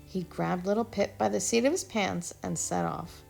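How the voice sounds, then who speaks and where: A woman reading a children's storybook aloud in a gentle, expressive way. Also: mid-range voice, normal speed, vocal fry, breathy edge, faint music behind. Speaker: storybook reader. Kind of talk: children's read-aloud narration.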